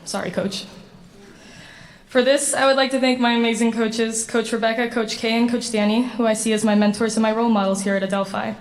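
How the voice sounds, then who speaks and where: Young woman giving a steady, flat speech. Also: fairly high pitch, brisk. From Sweden. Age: 20s. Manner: earnest, steady, slightly read-aloud delivery. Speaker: award recipient giving a speech. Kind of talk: prepared acceptance speech.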